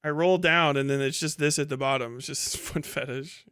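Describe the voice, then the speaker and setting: High-pitched, clear-voiced male streamer. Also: steady tone, normal speed, sharp tone. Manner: heavily profane, exasperated, incredulous. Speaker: livestream host. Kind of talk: casual livestream commentary.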